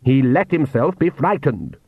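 A man's voice: medium pitch, expressive, measured pace, husky, dry recording, narrow, tinny audio. A man voicing an arrogant vampire count and domineering father, lecturing in a pompous, imperious way. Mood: composed, condescending.

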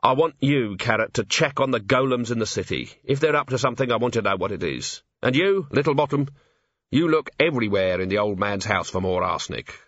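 A man voicing a gruff, weary watch commander in an audiobook, dry and sarcastic. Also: mid-range voice, lively intonation, brisk, fairly bright tone, dry recording, dull audio. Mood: tired, commanding. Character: weary, sardonic male city watch commander.

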